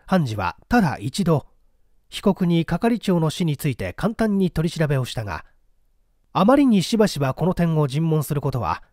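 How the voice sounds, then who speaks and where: A man reading a mystery story aloud as dramatic literary narration, with deliberate, theatrical phrasing. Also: a little high, a little mellow, no echo, clean studio sound. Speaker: story reader.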